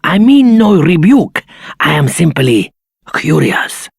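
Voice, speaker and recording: Formal old dragon-folk elder for a game, husky voice that moves around a lot, grave. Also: male voice, a little high, very animated, no room sound, clean studio sound, exaggerated voice. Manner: formal, deliberate. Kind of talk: video game voice acting.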